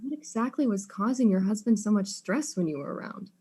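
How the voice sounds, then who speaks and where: A woman's voice: medium pitch, expressive, a little fast, mellow, husky. A woman playing a polite, inquisitive detective in a mystery drama, questioning people in an earnest and slightly hesitant way. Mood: probing.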